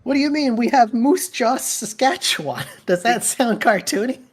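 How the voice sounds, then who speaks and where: A woman's voice: a little high, animated, moderate pace. Teasing woman with a Toronto-Hamilton accent. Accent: Toronto-Hamilton Canadian. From Canada. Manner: teasing, laughing. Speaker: stream co-host. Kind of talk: casual gaming chat.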